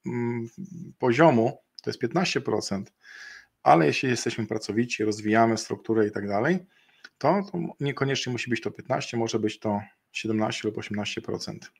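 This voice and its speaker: A middle-aged man talking through slides on a video call, in a voice of ordinary middle pitch. He speaks in halting stretches, filling pauses with hesitant sounds and restarting phrases as he explains the figures. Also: creaky, breathy edge. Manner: halting, many fillers and restarts, slow deliberate pace in places. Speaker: webinar presenter. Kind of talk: explaining a partner bonus program over slides. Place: indoor room, video call.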